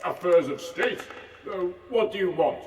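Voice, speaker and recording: A man's voice: high-pitched, lively intonation, measured pace, darkish tone, a little hiss or hum. A vain, forgetful old king played by a man rambles pompously in a comic audio play. His voice swings widely and sounds distant in a reverberant hall. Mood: petulant, distracted. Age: older. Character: mad, vain, forgetful king with grandiose ambitions. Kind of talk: comic audio drama acting. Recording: echoey hall, far from the mic.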